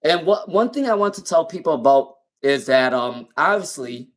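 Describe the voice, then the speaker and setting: Tarot reader with a speech impairment, repeating himself. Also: male voice, fairly high pitch, normal speed. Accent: non-native English. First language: not English. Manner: repeats names and questions while pulling cards. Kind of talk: live-stream tarot reading.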